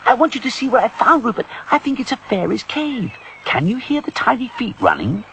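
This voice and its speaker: A man voicing an excitable, adventurous young girl in a children's storybook, with a breezy, exclamatory way of talking. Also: extremely high pitch, a little fast, vocal fry, slight background noise, voice pushed up, heavily acted. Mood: excited wonder.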